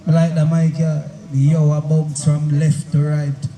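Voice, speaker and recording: Slow-drawling man on a mic check. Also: a little high, flat delivery, dark tone, slight background noise. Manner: slow drawling patois banter. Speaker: sound system selector. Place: outdoor sound system event. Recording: echoey outdoor sound system with music.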